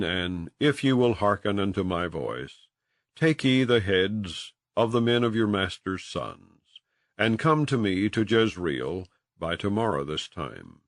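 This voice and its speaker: Low male voice doing a bible audiobook, really animated up and down, solemn, super dry sound. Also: no echo. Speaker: audiobook reader. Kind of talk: scripted scripture narration, King James Bible.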